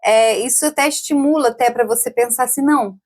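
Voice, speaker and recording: A woman in her forties talks as a guest on a podcast, her voice sitting a little high. She chats casually, laughs easily and keeps checking in with little tag questions. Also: a little fast, darkish tone. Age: 40s. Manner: chatty, laughs often, many tag questions. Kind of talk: casual interview chat.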